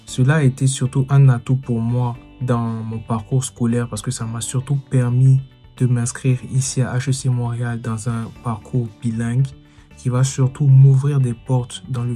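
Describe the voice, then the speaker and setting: A man's voice: mid-range voice, mellow. A young adult man, a university student, talking steadily and composed.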